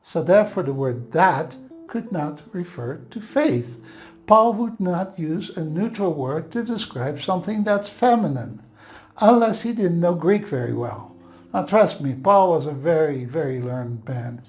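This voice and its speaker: A man's voice: fairly high pitch, animated, a little slow, a little mellow. Dutch-accented man teaching Bible grammar. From Netherlands. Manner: earnest, explanatory, reassuring asides.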